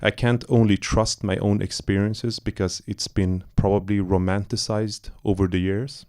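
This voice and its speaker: A man's voice: on the low side, little pitch movement, moderate pace, a little mellow. A man from Iran, a film director, talking loosely in a post-screening Q&A, searching for words with many fillers and restarts. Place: cinema auditorium.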